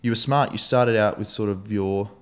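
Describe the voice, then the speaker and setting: A young Australian man talks as a podcast host in a relaxed, joking way and swears freely. His voice sits a bit low, and he mixes offhand asides into his questions. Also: moderate pace, smooth. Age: young adult. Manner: swears often, loose and jokey. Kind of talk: casual interview chat.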